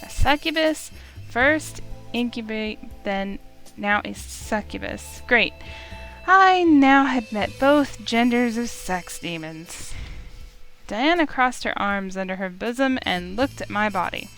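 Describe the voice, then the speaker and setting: A woman's voice: on the high side, animated, slow pace, a bit bright, faint music behind, heavily acted, low-quality recording. A woman playing an indignant young human heroine who narrates a visual novel in the first person and voices her own defiant lines. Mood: angry, defiant. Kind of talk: first-person story narration with acted dialogue.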